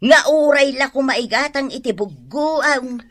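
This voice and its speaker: A woman's voice: sits high, unhurried, no room sound. A woman with an Ilocano Filipino accent playing a blunt, exasperated, reproachful woman in a radio drama. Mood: exasperated scolding. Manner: emphatic, scolding.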